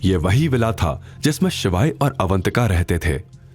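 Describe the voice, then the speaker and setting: Guy narrating an audio story, lowish voice, dramatic and suspenseful, music in the background. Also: measured pace, husky. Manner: expressive, suspenseful delivery.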